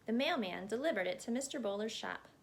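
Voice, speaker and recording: A woman reading a children's picture book aloud as a storyteller, in an expressive narrating style. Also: a little high, quick pace, vocal fry.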